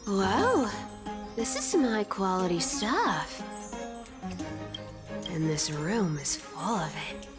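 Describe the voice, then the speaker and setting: A woman voicing a sly, teasing young trickster thief in a video game, with drawn-out, sing-song phrasing. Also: medium pitch, sing-song. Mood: teasing, matter-of-fact. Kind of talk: video game story voice acting. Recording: loud music behind the voice.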